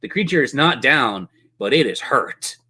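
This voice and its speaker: A man's voice: mid-range voice, lively intonation, moderate pace, pushed and bright, breathy edge. A man acts as game master for a tabletop role-playing session. He narrates in a playful way, with theatrical turns of phrase and a mid-range voice.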